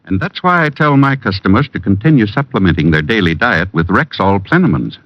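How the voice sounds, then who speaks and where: A man's voice: lowish voice, animated, brisk. A man delivering a 1940s radio commercial as a reassuring, emphatic druggist. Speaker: advertising pitchman playing a druggist. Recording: muffled old radio recording.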